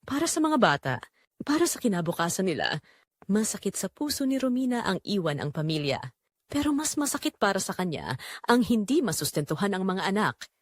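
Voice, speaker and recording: A Filipino woman tells a scripted dramatic story in a mid-pitched voice. Her intonation moves widely from phrase to phrase. The sound is dry, with hardly any room on it. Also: sing-song, fairly quick, no echo. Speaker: storyteller and channel narrator.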